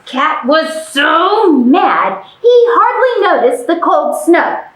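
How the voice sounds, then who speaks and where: Female children's storyteller, high-pitched and highly animated, playful questioning delivery, roomy sound. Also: slow. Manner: exaggerated, playful, addresses young children with questions. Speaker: library storytime presenter. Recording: roomy, echoing room sound.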